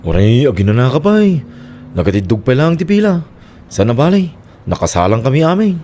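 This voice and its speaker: A man's voice: medium pitch, big pitch swings, brisk, mellow, slight background noise, lightly acted. A man playing an easygoing massage worker in a radio comedy-drama. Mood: friendly.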